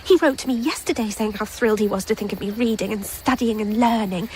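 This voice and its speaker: An actress playing a defiant, passionate young poetry student in a radio drama, impulsive and emotional. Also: female voice, mid-range voice, fast, darkish tone, slight background noise, close to an everyday voice. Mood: anxious, secretive.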